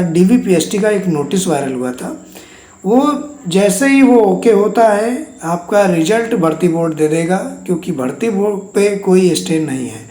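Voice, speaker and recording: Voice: mid-range voice, dark tone. A speaker gives an informal update to exam candidates as a channel host, in a repetitive, reassuring way. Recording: echoey untreated room.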